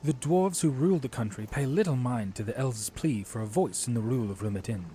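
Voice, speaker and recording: A man playing a courteous, watchful young knight commander in an audio drama, speaking formally and earnestly in measured phrases. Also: mid-range voice, dark tone, a little hiss or hum, close to an everyday voice. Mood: cautioning.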